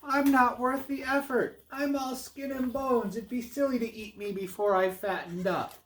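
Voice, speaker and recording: A man's voice: very high voice, fairly even intonation, normal speed, voice pushed up. A man voicing a tiny, skinny, timid young billy goat for a storybook, pleading and reasoning in a very small voice. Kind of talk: storybook read-aloud. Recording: clear background noise.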